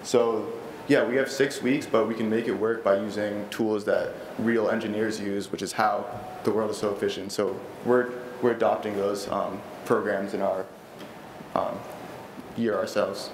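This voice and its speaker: Teenage boy, low voice, earnest and eager, lectern mic, echoey library room, faint background noise. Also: steady tone, normal speed. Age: teens. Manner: earnest, eager, with frequent fillers and restarts. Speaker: student robotics team presenter. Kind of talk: school board presentation and Q&A. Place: large school library meeting room, indoors. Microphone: standing at a lectern microphone.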